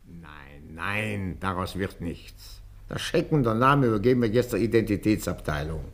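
A man's voice: sits low, lively intonation, moderate pace. An actor playing a stubborn, dismissive police inspector who lectures his subordinate emphatically in a German radio crime drama.

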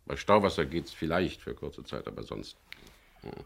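A dogged, world-weary skipper in a 1970s radio thriller. His voice is exceptionally deep with a gritty creak. He speaks drily and wastes few words, as narrator and as captive hero. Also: big pitch swings, moderate pace, heavily acted. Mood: dry, reluctant. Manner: dry, laconic. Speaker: lead actor and first-person narrator. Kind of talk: 1970s German radio crime drama acting and narration.

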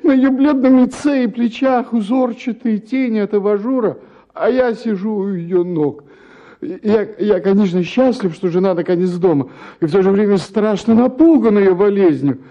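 A doting, anxious husband. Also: male voice, high-pitched, normal speed, mellow, muffled recording. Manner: fussing, stammering. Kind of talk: radio play acting.

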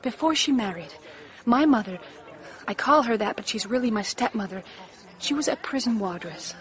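An actress playing a timid, downtrodden woman in her twenties in a radio drama, hesitant, subdued and breathy. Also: on the high side, expressive, moderate pace, a faint crowd, natural voice. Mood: anxious, despairing. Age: 20s.

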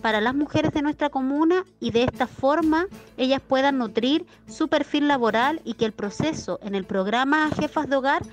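A woman's voice: high-pitched, normal speed, few pauses. A woman with a Chilean accent giving a prepared informational statement as a programme coordinator. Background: faint music.

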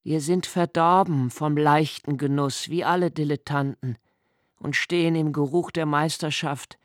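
An actor playing a young nobleman who narrates a literary radio play in a slow, hushed, reflective way. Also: a little high, fairly even intonation, no room sound, clean studio sound, natural voice. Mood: musing. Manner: slow, hushed and reflective, dropping to near whispers. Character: young nobleman Malte, brooding poetic narrator.

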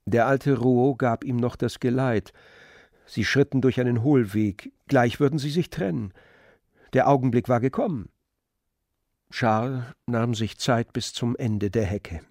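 Male narrator, medium pitch, measured scripted storytelling, composed, close dry studio sound, radio drama. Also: darkish tone, no room sound. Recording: dry studio with faint music.

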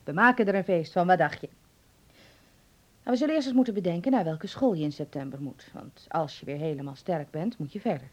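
A woman's voice: on the low side, expressive, brisk, a little mellow. An actress playing a stern, worried middle-aged mother in a Dutch radio play, with an emphatic, scolding manner. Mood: relieved. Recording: muffled radio drama recording.

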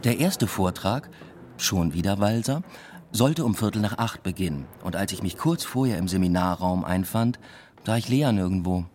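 An actor playing a weary, introspective academic who tells his own story in measured radio drama narration. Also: deep voice, expressive, a little mellow, slight background noise. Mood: dry, observant. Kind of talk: scripted first-person radio drama narration.

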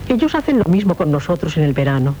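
An actress playing an imperious, gracious young Castilian princess in a radio drama, regal and assured, with a Castilian Spanish accent. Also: female voice, deep voice, big pitch swings, quick pace, mellow. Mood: earnest. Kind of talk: 1970s radio drama acting. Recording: dull, noisy 1970s radio recording.

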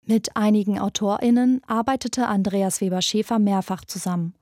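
A woman's voice: mid-range voice, moderate pace, darkish tone, no echo, clean studio sound. A woman hosting a podcast, reading scripted documentary narration.

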